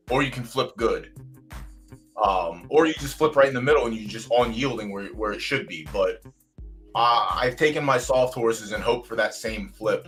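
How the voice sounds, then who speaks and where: A man's voice: mid-range voice, a bit bright. A man hosting a casual podcast chat, talking bluntly and venting with plenty of swearing. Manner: blunt, profane, fired-up venting. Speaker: host. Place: home streaming setup.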